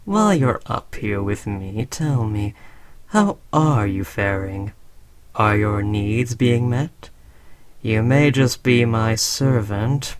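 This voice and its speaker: Slow, imperious alien overlord played by a man. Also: mid-range voice. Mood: smug, indulgent. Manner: unhurried, imperious, teasingly patronizing. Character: alien overlord who conquered Earth, smug, condescending, indulgent. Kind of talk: roleplay audio drama, addressing a servant listener.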